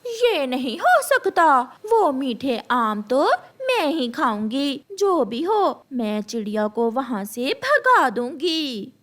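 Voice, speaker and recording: A scheming female crow insists loudly on getting her way, as a woman puts her on. The voice is high and swooping, with slow, pointed cartoon delivery. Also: very animated, heavily acted. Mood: greedy. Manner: loud, demanding.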